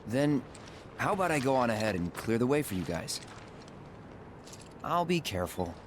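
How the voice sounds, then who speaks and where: A man playing a cocky, good-hearted young soldier in a video game cutscene, brash, casual and expressive. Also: mid-range voice, normal speed, background noise, natural voice. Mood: upbeat, confident.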